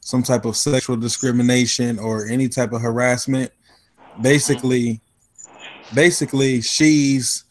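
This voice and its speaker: Cleveland dude on a podcast, loose and rambly, mid voice. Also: male voice, medium pitch, steady tone, measured pace, a little hiss or hum, low-quality recording. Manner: loose, rambling, repeats words. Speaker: podcast co-host. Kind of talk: casual chat.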